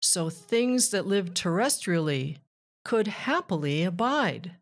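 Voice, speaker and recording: A woman reading a rhyming children's book aloud with measured, deliberate phrasing. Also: fairly low pitch, big pitch swings. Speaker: children's author and narrator.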